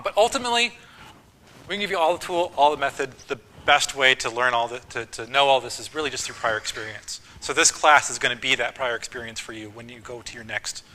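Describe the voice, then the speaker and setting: A man teaching a university course, talking casually with frequent ums and quick lists. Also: mid-range voice, sharp tone, a little hiss or hum, low-quality recording. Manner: casual, list-making, filler ums. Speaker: course instructor. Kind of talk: university lecture.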